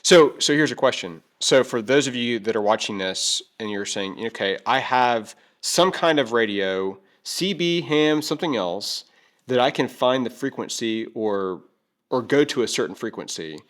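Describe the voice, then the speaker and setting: A man's voice: mid-range voice, lively intonation, moderate pace, a bit bright. Chatty man hosting a casual gear overview. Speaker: host of a gear review video. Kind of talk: casual product overview chat.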